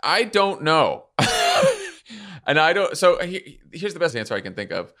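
A man with a faint Boston accent co-hosting a casual political podcast, joking and quick to laugh. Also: fairly high pitch, big pitch swings, sharp tone. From Massachusetts. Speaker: podcast co-host.

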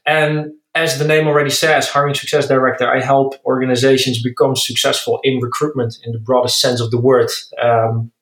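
Dutch man as interview guest, relaxed and musing, roomy echo. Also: mid-range voice, brisk, few pauses. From Netherlands. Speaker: guest, recruitment director. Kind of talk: casual interview talk. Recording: echoey room.

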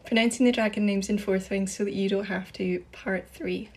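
A woman who speaks Gaelic, talking through pronunciations in a measured, explanatory way. Her husky voice has a gravelly fry and stays flat in pitch. It comes from a short clip played back. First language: Scottish Gaelic speaker. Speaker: TikTok creator explaining pronunciation.

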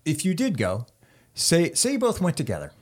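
Lively, animated male podcast host. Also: on the high side, moderate pace. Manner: animated, asks rambling questions. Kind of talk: casual interview chat.

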